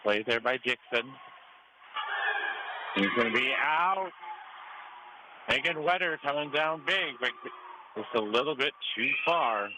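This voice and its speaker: A man's voice: medium pitch, expressive, a little slow, pauses often. An American man doing live radio play-by-play commentary of a volleyball match. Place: high school gym during a match. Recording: clearly noisy gym ambience. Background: gym ambience and noise.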